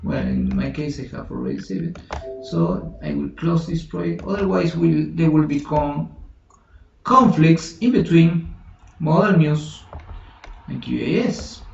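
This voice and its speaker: A man whose first language is Spanish, teaching a software tutorial in a halting way, thinking aloud with many restarts and self-corrections. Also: a little high, expressive, gravelly, a little hiss or hum. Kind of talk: screen-recorded software tutorial. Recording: roomy, distant, dull lo-fi home recording.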